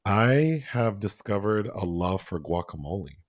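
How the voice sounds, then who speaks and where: A man with a low voice and dry, close sound, chatting casually as a podcast co-host. He talks loosely, with lots of fillers and restarts. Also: lively intonation, unhurried, no echo. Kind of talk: casual film review chat.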